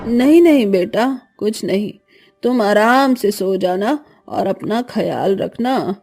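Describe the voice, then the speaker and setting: A woman's voice: medium pitch, expressive, slow pace, darkish tone, soft background music. A woman playing an anxious, caring village mother in a horror story, speaking in an earnest, cautioning way. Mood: worried, tender.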